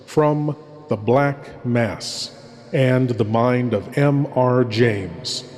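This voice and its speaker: A man hosting a horror show in a theatrical, ominous and drawn-out scripted style. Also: mid-range voice, darkish tone. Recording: long cavernous reverb.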